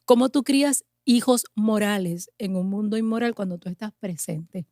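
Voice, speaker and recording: A woman speaks in an earnest, emphatic way, often building her points into rhythmic lists. Her voice sits at an ordinary middle pitch. The sound is dry and close, with no room echo. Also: normal speed, mellow. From Puerto Rico. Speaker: guest, pastor and mother. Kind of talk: conversational interview answers.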